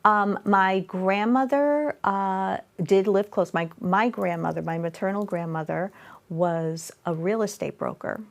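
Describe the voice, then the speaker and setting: A woman's voice: medium pitch, unhurried, vocal fry. A middle-aged woman talking casually as an interview guest, chatty, with many fillers and restarts. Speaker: author and guest. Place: local cable studio.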